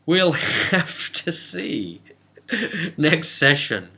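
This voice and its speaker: Bright, forceful man telling game stories. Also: fairly high pitch, big pitch swings, extremely slow, gravelly. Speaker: game master and channel host. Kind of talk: informal recap of a tabletop roleplay session.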